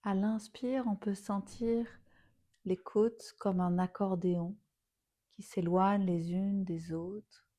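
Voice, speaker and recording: Slow, mellow woman guiding relaxation. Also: mid-range voice, steady tone. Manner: soothing, unhurried, phrases softly trailing into breathy near-whispers. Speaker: yoga nidra teacher. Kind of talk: guided relaxation meditation.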